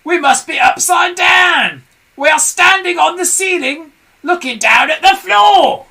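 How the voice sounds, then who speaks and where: A woman reading aloud and voicing a nasty grumpy old man who blusters and shouts. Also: very high voice, expressive, a little slow, vocal fry, voice pushed up, exaggerated voice. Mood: blustering. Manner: blustering, loud.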